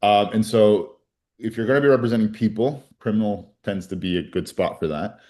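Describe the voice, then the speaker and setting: A man's voice: fairly low pitch, fairly quick, darkish tone. A man in his forties who grew up in Colombia, a criminal defense attorney telling his story in an earnest, reflective way with frequent restarts. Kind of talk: interview storytelling.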